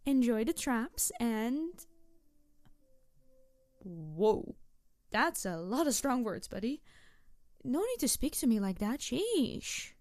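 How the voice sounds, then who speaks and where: A woman playing a mischievous, excitable tanuki trickster spirit in a roleplay audio drama, teasing and flirty and easily huffy, talking straight to the listener. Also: fairly high pitch, dry recording. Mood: offended, huffy.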